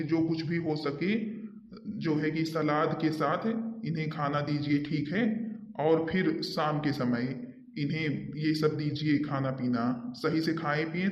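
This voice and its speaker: A man plays an earnest village doctor in a cartoon comedy. His voice sits a little high and he instructs item by item, repeating himself. The sound is echoey and somewhat muffled. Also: lightly acted. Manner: instructive, itemising, repetitive. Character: earnest village doctor giving patient advice.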